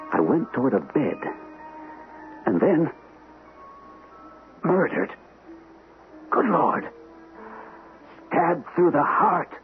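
An actor playing a haunted army captain narrating his ordeal with suspense in a vintage radio drama. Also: mid-range voice. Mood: shocked. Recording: narrow vintage radio recording.

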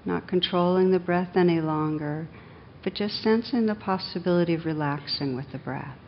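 Low-voiced woman guiding meditation, slightly muffled. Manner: gentle, unhurried, with long pauses. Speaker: meditation teacher. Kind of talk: guided meditation. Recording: dull, muffled sound with faint rumbling noise.

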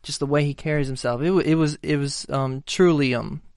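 A young male singer from outside Nashville, Tennessee, chatting as a guest in a studio interview, relaxed and full of fillers and restarts. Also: fairly high pitch, brisk, no room sound. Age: young adult. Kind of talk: casual interview chat.

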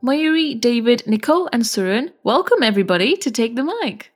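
A woman's voice: fairly high pitch, big pitch swings, brisk, slightly rough, soft background music. A woman hosting and interviewing guests in a conversational way. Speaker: podcast host.

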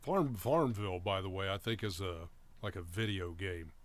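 Low-voiced man in casual chat. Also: normal speed. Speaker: talk show co-host.

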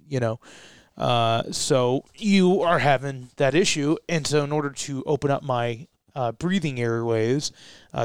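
A man's voice: medium pitch, smooth, no echo, studio quality. A young adult man co-hosting a casual talk show, chatty and rambling, laughing at himself now and then. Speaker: co-host. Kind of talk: casual chat.

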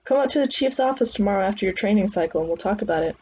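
A woman voicing a sly, blunt older male officer, a gruffly teasing mentor in an audiobook. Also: mid-range voice, animated, very fast, darkish tone, dull audio, slight background noise, close to an everyday voice. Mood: exasperated. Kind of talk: acted audiobook dialogue.

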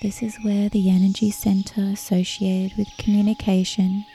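A woman narrating a guided meditation in a soothing, measured manner, with long pauses and a soft, at times breathy voice. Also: medium pitch, flat delivery, a little hiss or hum. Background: soft meditation music with birdsong.

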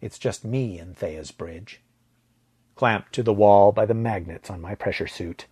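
A man with a husky voice narrating a story in a dramatic, deliberate way, letting phrase endings drop to a hush. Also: fairly low pitch. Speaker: audio fiction narrator.

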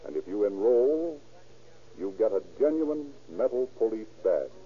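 An American man hosting and narrating a 1930s radio program in formal, measured scripted announcements. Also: mid-range voice, husky. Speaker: narrator and host. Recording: tinny muffled 1930s radio recording.

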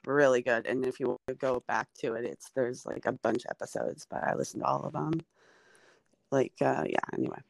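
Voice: mid-range voice, steady tone, brisk, creaky, dry recording, poor audio. A comedian in their forties, guesting on a podcast in casual, rambling chat, full of fillers. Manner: rambling, filler-heavy, chatty.

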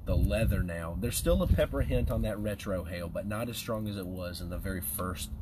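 A man, co-hosting a podcast, chatting and describing cigar flavors in a halting, restarting way. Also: low-pitched. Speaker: podcast co-host. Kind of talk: casual chat, cigar tasting notes. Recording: noisy background hiss.

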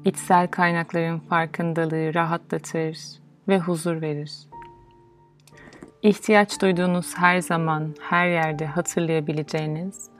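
A woman guiding a meditation in a soothing, measured, instructional way, leaving pauses between phrases. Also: low-pitched, little pitch movement. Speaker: meditation guide. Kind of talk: guided yoga nidra meditation, instructional. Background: music.

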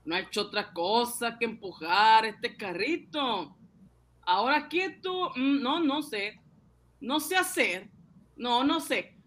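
A man acting a simple, excitable, poor ice-cream vendor and husband in a radio serial, with folksy, naive exclamations. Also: very high-pitched, slow pace, a little hiss or hum, exaggerated voice. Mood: hesitant.

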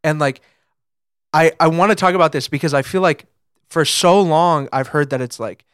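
A young American man co-hosting a podcast, chatting casually and confessionally, thinking aloud in halting fragments. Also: fairly high pitch, a bit bright, clean tone, no room sound, studio quality. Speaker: podcast co-host, photographer.

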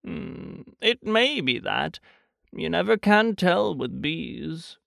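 A narrator voicing a slow, earnest, anxious male toy bear who muses hesitantly in a children's storybook. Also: medium pitch, sing-song, gravelly, a bit bright, dry recording, studio quality, voice pushed up, heavily acted. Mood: musing, suspicious. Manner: hesitant, deliberate, drawn-out musing.

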